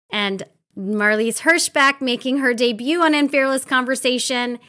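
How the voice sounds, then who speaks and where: Higher-pitched woman hosting a podcast. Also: bright, forceful tone. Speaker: podcast host.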